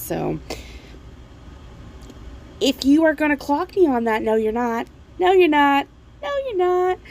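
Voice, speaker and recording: A woman in her thirties with a croaky voice, recovering from laryngitis, chatting casually in a rambling, self-deprecating voiceover at home. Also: mid-range voice, a little mellow, a little hiss or hum, rough recording. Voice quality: croaky, gravelly voice. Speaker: planner vlogger. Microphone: voiceover mic.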